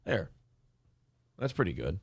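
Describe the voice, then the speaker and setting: A man's voice: low voice, very animated, measured pace, creaky, breathy edge, dry recording, studio quality. A man co-hosting a morning show with casual, profane comedic banter about the news. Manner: profane, joking.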